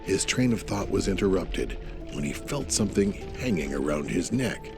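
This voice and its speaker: A man's voice: sits low, animated, moderate pace. A man narrating a scripted audio drama in a dramatic storytelling style. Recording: music bed behind the voice. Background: music.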